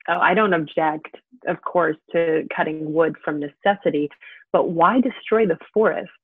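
An actress playing a gentle, wistful woman, speaking softly and earnestly in a measured, intimate, naturalistic drama style. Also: lowish voice, husky, no room sound. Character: gentle, wistful actress talking to an old friend by phone. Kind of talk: intimate naturalistic audio drama acting and monologue. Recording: tinny, band-limited phone line.

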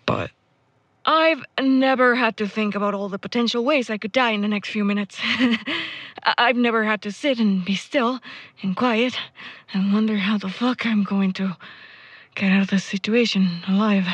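A woman's voice: mid-range voice, normal speed, breathy edge, dry recording, natural voice. A woman playing a caring, wry young student in an audio drama, speaking casually and hesitantly. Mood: shaken, reflective. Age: young adult. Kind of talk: naturalistic audio drama acting.

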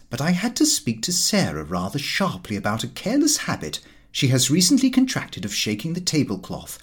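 A man reading a comic diary aloud as expressive audiobook narration. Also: medium pitch, husky. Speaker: audiobook reader.

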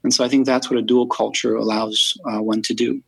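A man from France, a podcast guest, speaking thoughtfully in a casual interview, with many pauses and fillers. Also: medium pitch, level tone, creaky, husky, dry recording.

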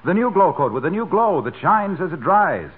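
A breezy, smooth pitchman. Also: sing-song, moderate pace. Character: breezy salesman friend pitching floor wax. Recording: tinny old radio broadcast with hiss.

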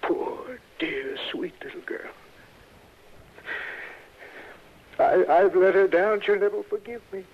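A man's voice: high-pitched, normal speed. A man playing a fretful, lovelorn older businessman in a 1960s radio drama, with a faltering, plaintive and primly self-important way of speaking. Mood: distraught, sorrowful. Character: fussy, lovelorn, fretful older gentleman safe maker. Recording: dull, hissy vintage radio recording.

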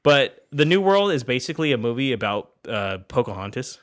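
A man's voice: medium pitch, sing-song, brisk, a bit bright. A young man co-hosting a podcast, a bit stuffy and nasal from a cold, talking casually and enthusiastically with lots of restarts. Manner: rambling, enthusiastic, full of restarts. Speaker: podcast co-host. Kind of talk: casual chat.

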